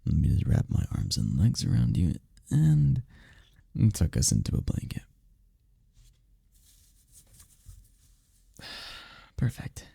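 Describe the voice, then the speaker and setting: Man voicing a deep, gravelly, tender incubus boyfriend. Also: huge pitch swings, unhurried, dry recording, studio quality, heavily acted. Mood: soothing, affectionate. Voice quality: gravelly, dark low voice. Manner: soft, soothing, teasing, lapses into whispers. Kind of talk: intimate ASMR roleplay, comforting. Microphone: close microphone, dry.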